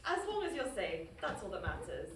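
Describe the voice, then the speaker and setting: A woman's voice: mid-range voice, huge pitch swings, a little fast, distant mic. A woman reading a suspicious, demanding caller devoted to a queen at a comedy animation table read. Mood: suspicious, worried. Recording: echoey room from afar, faint noise.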